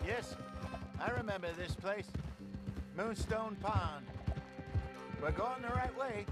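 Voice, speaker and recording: Wise old american cowboy mentor, high-ish voice, patient and a bit chiding, loud music under it. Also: male voice, expressive, slow. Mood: reminiscing. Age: older. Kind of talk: cinematic western game acting.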